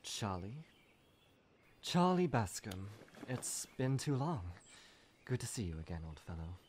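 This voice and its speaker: A man's voice: mid-range voice, very animated, a little slow, slight background noise. A man voicing a poised, wry female adventurer in a comic dub, speaking with dry, polite formality. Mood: wry, friendly. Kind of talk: amateur comic dub, narration and dialogue. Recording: loud music over the voice. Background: loud music.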